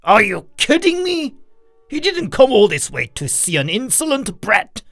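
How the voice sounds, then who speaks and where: Man acting a volatile alcoholic father, wildly swinging pitch. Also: wildly swooping pitch, a little slow, no room sound, faint music behind, exaggerated voice. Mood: angry scolding. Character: volatile alcoholic father, raving, fawning to an invisible guest. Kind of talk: dramatized storytelling.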